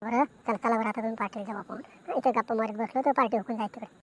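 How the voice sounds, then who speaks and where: A woman's voice: a little high, steady tone, very fast, lightly acted, low-quality recording. A woman voicing a chatty, boastful village man in an amateur cartoon comedy, emphatic and repetitive, with a rural Maharashtrian accent. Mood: brisk gossiping. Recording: noticeable background noise.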